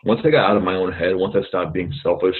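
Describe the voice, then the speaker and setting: A man as a podcast guest, talking fast and earnestly about helping others, with frequent restarts. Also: deep voice, steady tone, husky. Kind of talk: casual conversation. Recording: tinny phone-like line.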